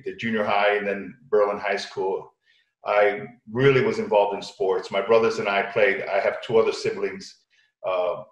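A middle-aged man from Connecticut telling personal stories casually as a podcast guest, haltingly, often repeating words. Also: fairly low pitch. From Connecticut, United States. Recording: echoey room, dull lo-fi sound.

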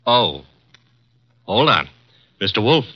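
Wisecracking young assistant, quick and wry, bouncy voice, muffled old radio. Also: male voice, lowish voice, big pitch swings, slightly rough, exaggerated voice. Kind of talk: 1950s radio drama acting. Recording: dull lo-fi 1950s radio broadcast.

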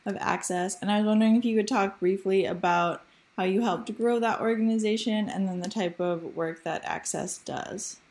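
A woman asks a formal, prepared interview question in a brisk, businesslike tone. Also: medium pitch, darkish tone.

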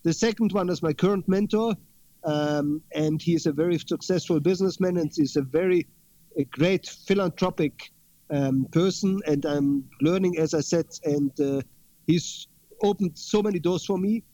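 A man with a fairly high voice speaks earnestly as an interview guest, circling back and repeating his key words. His audio is dry and a bit muffled. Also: moderate pace, dark tone, no room sound. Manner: earnest, repeats himself for emphasis. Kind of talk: interview conversation.

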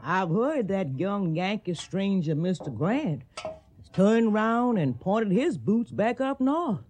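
An actress playing a devoted, plain-spoken older household servant with a husky voice and a Southern US accent, in a radio drama. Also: female voice, medium pitch, sing-song, slow, darkish tone, a little hiss or hum. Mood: disapproving, firm. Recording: slightly dull old radio recording.

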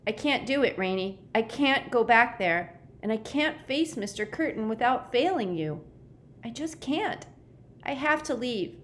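A woman's voice: lowish voice, a little slow, a little hiss or hum, natural voice. A woman voicing a frightened, anxious boy in a storybook, shaky, pleading and emotional. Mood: despairing. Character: frightened, despairing, anxious boy on the verge of breaking down.

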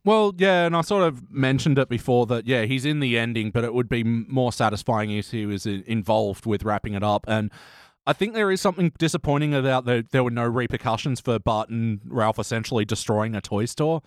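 A man's voice: mid-range voice, brisk, no echo, clean studio sound. A man hosting a podcast, giving his opinions in casual, conversational review chat. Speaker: podcast host.

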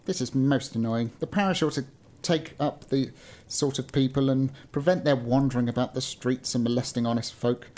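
A man voicing a miserly, irritable rich old gentleman from a storybook, pompous and exclaiming. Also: mid-range voice, normal speed, lightly acted. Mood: irritated, pompous.

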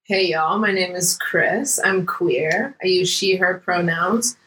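A woman's voice: lowish voice, slow. A woman in her late twenties co-hosting a casual group podcast, joking as she chats. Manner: joking, animated. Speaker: podcast co-host. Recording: echoey room, clear background noise.

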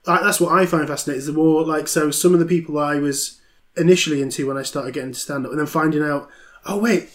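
A man's voice: fairly high pitch, fairly even intonation, quick pace. A man in his thirties, a stand-up comedian talking casually and thoughtfully, with many fillers and restarts. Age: 30s. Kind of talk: casual podcast conversation.

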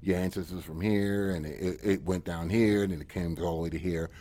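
A man's voice: low voice, fairly even intonation, a little fast, warm tone. A man, talking casually as a guest and rambling with constant repeats of "you get what I'm saying". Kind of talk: casual conversation.

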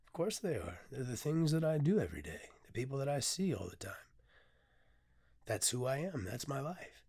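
A hoarse, tired man hosting his own podcast in a casual, candid way, often pausing on "um" and swearing freely. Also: medium pitch, very animated, darkish tone. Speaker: podcast host and poet. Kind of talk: casual solo podcast talk.

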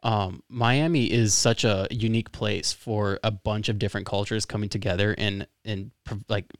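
Male podcast host, lowish creaky voice, dry sound, casual and chatty. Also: steady tone, normal speed, no echo. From West Palm Beach, Florida, United States. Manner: informal, chatty, frequent "like". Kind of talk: casual conversational interview.

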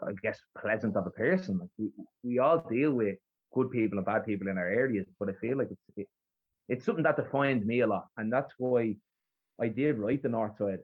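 A young man with a rough north Dublin accent, a podcast guest chatting casually and rambling with plenty of fillers and restarts. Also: lowish voice, brisk. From Dublin, Ireland. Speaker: podcast guest, spoken word poet. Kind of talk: casual interview chat. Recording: husky voice over a tinny remote line.

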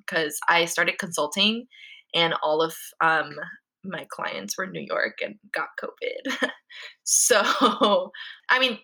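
A woman's voice: mid-range voice, pushed and bright, husky. A young woman talking as a podcast guest in a casual interview, chatty and full of "like" and "um" fillers.

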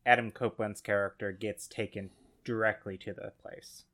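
A man co-hosting a podcast in casual comedic chat, halting and brainstorming with many fillers. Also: on the low side, little pitch movement, a bit bright. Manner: halting, lots of ums and likes, brainstorming. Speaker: podcast co-host.

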